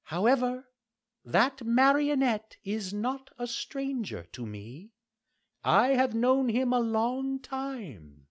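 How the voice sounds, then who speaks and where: A narrator voicing a stern male talking cricket who scolds in a very high, piping voice. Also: sing-song, slow pace. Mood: scolding. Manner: scolding, preachy.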